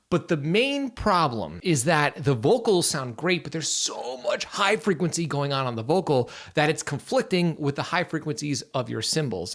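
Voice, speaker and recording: A man's voice: mid-range voice, fairly bright tone. A man reviewing subscriber mixes, giving frank but encouraging feedback between listening to the tracks. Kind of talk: casual critique while listening to tracks.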